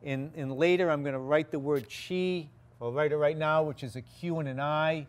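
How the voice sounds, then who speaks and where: A man's voice: a little high, steady tone, moderate pace. An older man with a Boston accent, giving a casual guest lecture in a large hall and telling his story in a rambling way, full of restarts and fillers. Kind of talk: informal campus lecture.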